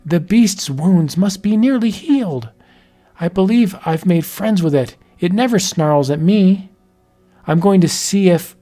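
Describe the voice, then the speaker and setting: Guy putting on a high shaky scared young woman, storybook horror, slow. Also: expressive, mellow, faint music behind. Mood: calm. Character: frightened young woman, nervous.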